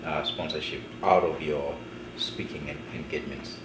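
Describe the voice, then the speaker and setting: A very deep-voiced man hosts a casual interview and asks slow, encouraging questions. The room sounds echoey, with audible noise behind him. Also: steady tone. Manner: affirming, drawn-out questions. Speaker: interview host.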